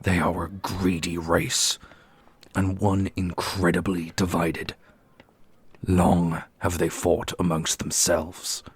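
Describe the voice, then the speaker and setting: A man playing a brooding, commanding immortal prince in an ASMR fantasy roleplay, speaking gravely and deliberately with a gravelly, husky voice. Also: deep voice, little pitch movement, no room sound, exaggerated voice. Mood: grave, resolute.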